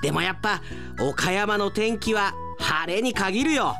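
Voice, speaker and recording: A man acts out an eager, conscientious male company worker in a radio play. He speaks in a very lively, swinging pitch, earnestly exclaiming to himself as he works. Music plays clearly behind him. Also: a little slow, heavily acted. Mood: surprised, pleased. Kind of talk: radio drama acting.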